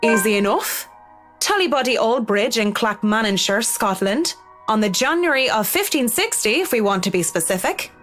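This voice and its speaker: A woman's voice: on the high side, lively intonation, moderate pace, a bit bright. Confident, teasing woman, over music. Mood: competitive, teasing. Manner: playful, wry banter. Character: confident, teasing Scottish woman interior designer who loves history. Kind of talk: visual novel voice acting.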